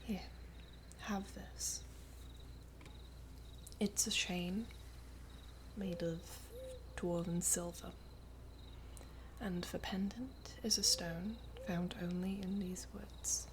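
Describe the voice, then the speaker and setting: A woman playing a wistful, lovelorn elven princess in a whispered ASMR roleplay, soft, breathy and halting. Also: lowish voice, fairly even intonation, background noise. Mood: tender.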